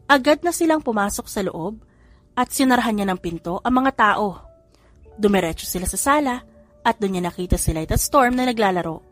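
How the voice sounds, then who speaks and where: A woman narrating a romance audiobook in an even, steady storytelling manner. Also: medium pitch, fairly quick, soft background music.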